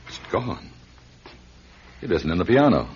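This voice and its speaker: A man acting a cold, calculating attorney in a radio drama, commanding and deliberate. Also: low-pitched, sing-song, husky, full character voice. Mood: urgent, commanding. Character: cold, calculating male attorney, controlling schemer. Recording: dull, noisy radio recording.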